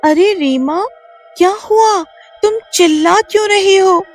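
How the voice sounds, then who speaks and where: A calm young married woman in a spooky cartoon, voiced by a woman who soothes and dismisses others' fears. She speaks high and slow, with faint music behind her. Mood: soothing.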